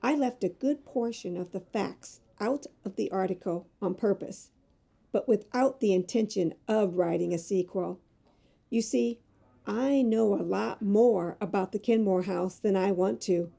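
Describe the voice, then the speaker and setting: A woman's voice: mid-range voice, unhurried, gravelly, dark tone. A woman playing an earnest, fearful magazine reporter in an audio drama, speaking in a dramatic, hesitant way. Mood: reluctant. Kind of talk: amateur audio drama acting.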